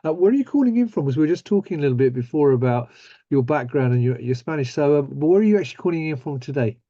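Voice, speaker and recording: A man's voice: on the high side, animated, fast, dark tone, no echo, lo-fi sound. A man hosting a business interview, asking friendly, upbeat questions. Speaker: host. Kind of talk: business interview over video call.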